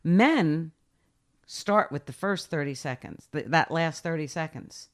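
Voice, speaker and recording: A woman's voice: low voice, lively intonation, measured pace. A woman in her sixties, a guest in a casual interview, speaking emphatically and directly with short, punchy repeated advice. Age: 60s.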